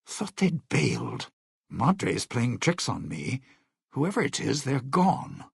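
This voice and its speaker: Casting: a world-weary, sardonic showman in a video game; husky male voice, dry close sound, old-time slang and sly patter. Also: mid-range voice, wildly swooping pitch, takes it slowly, dry recording, exaggerated voice. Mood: wry, wary. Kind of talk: video game companion voice lines.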